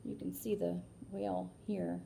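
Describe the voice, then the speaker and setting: A woman reading a children's picture book aloud like a teacher, animated, with explanatory asides and questions to her listeners. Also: fairly low pitch, measured pace, creaky, a little hiss or hum.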